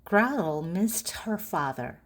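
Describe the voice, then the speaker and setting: A woman reading a children's story aloud in short, deliberate phrases for language learners. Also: on the low side, expressive. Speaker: storybook narrator.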